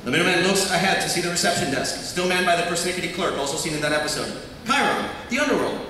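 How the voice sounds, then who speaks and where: A quick-talking man with a fairly high voice reads stage directions aloud. It is a live script reading, heard through heavy echo in a convention hall. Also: expressive, sharp tone. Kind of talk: live table read of a TV script. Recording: echoey noisy convention hall from far off.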